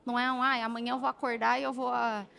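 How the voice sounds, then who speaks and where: Brazilian woman, career guest, high voice, lively, punchy delivery. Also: fast, gravelly. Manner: emphatic, animated, acts out quotes. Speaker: remote career expert. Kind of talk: casual podcast interview.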